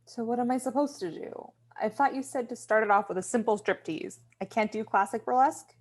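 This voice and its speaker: A woman's voice: a little high, fairly even intonation, brisk, lightly acted. A woman playing a hesitant, defensive young woman in a screenplay table read, with a tentative, questioning manner.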